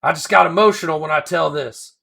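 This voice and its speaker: A man's voice: on the high side, brisk, creaky, pushed and bright, husky, full character voice. A man voicing a humble, sentimental older builder who tells his story with rustic, backwoods grammar. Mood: wistful. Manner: emotional, plainspoken storytelling. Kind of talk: first-person character reading.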